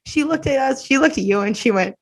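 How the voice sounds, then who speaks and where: A woman's voice: high voice, expressive, a little fast, slightly rough. Casual young American woman, teasing. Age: 20s. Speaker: podcast host. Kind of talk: casual chat, playful bickering.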